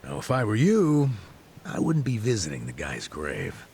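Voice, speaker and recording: Gravelly old cop near retirement, low voice, teasing and chuckly, swings his tone all over, game cutscene with music. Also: huge pitch swings, normal speed, darkish tone, a little hiss or hum, full character voice. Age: older. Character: aging male detective near retirement, sly and persistent. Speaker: detective. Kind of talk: dubbed game cutscene acting.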